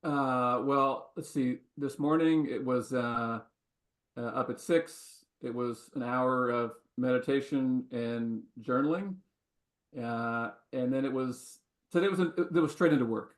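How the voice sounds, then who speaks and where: A man with a voice of middle pitch speaks in a relaxed, reflective way on a webinar panel. He coaches founders, and his tone stays unhurried throughout. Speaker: coach and podcast host. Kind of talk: casual panel talk.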